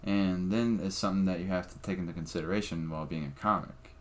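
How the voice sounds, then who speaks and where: A man's voice: low voice, steady tone, fast talker. A man, a comedian guest on a podcast, talking casually in a blunt, profane, opinionated way.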